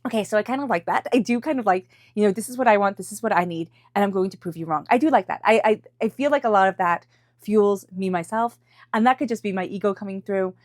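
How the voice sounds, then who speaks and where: A woman's voice: mid-range voice, fast talker, no echo, clean studio sound. A woman with a stuffy, congested voice from a cold, giving an intuitive tarot reading to viewers and repeating phrases for emphasis. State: has a head cold. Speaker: tarot reader.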